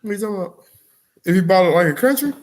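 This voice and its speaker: A man's voice: high voice, animated, rapid-fire. A man co-hosting a comedy podcast in loose, slangy chat, repeating himself for emphasis. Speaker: podcast co-host.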